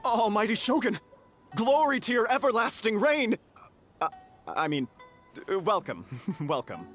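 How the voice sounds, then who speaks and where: A man's voice: high voice, sing-song, unhurried, a bit bright, full character voice. An actor playing a nervous, deferential male food vendor in an anime-style game, stammering and eager to please. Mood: flustered reverence. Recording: faint background music.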